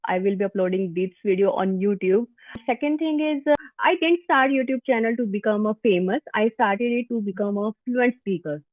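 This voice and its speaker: A woman's voice: medium pitch, normal speed, no echo, phone-quality sound. A woman with an Indian accent, Hindi her first language, casually explaining common English mistakes over a call. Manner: corrects and explains grammar, lively. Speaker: English-practice YouTuber. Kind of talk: casual conversation practice.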